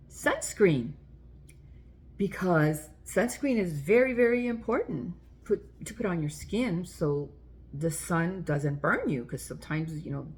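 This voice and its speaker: A woman with a mid-pitched voice hosts a story time for young children. She speaks in the style of a picture-book read-aloud. Her phrasing is simple and explanatory, aimed straight at her little listeners.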